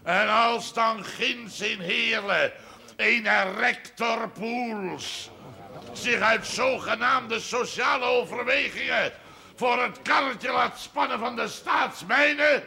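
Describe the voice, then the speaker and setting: An actor playing a fiery, domineering agitator in a television drama, declaiming in a rough, strained voice. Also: male voice, extremely high pitch, full character voice. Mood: indignant oratory. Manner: declamatory, shouting, drawn-out oratory. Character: fiery Limburg rabble-rouser, indignant and domineering.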